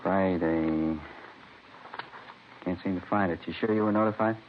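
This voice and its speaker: A low-pitched, folksy office clerk chats and mutters through routine business in a vintage radio drama. He is unhurried and casual. The recording is dull, with clear background noise. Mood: unhurried, puzzled. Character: unhurried, chatty police medical office clerk, male. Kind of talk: 1950s radio drama acting.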